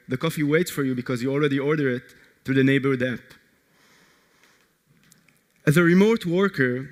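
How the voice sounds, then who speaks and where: A man from Israel, a startup co-founder, giving an earnest, energetic conference pitch that puts direct questions to the audience. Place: large conference hall. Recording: long hall reverb.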